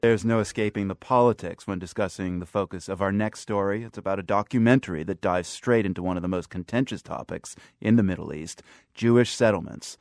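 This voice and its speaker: A man hosting a radio news program, introducing a story in a steady, formal way. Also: deep voice, fairly quick, no echo.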